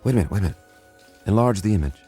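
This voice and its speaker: An actor playing a determined, authoritative senior codebreaker in a radio drama, speaking intently. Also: male voice, fairly low pitch, lively intonation, rapid-fire, dark tone, faint music behind, close to an everyday voice. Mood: excited, scheming.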